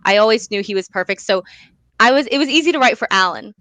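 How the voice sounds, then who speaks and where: Young female guest, bright and expressive, chatty storytelling delivery, close dry sound. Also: mid-range voice, fast, dry recording. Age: young adult. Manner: chatty, expressive, frequent "like" and "you know". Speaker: guest, young playwright and director. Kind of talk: casual interview storytelling.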